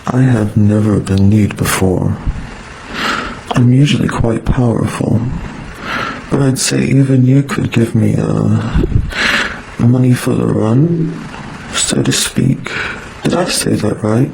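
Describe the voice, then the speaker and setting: Gravelly incubus played by a man, flirtatious. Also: medium pitch, takes it slowly, mellow, slight background noise, low-quality recording. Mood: smooth, teasing. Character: male incubus dream demon, flirtatious, powerful, gently protective. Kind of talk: fan audio drama acting.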